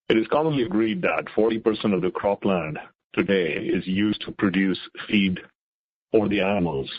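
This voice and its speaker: A man's voice: lowish voice, husky, dry recording. A middle-aged man presenting as a chief science officer, reading scripted remarks on an investor conference call. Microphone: conference call line. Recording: slightly dull conference-call audio.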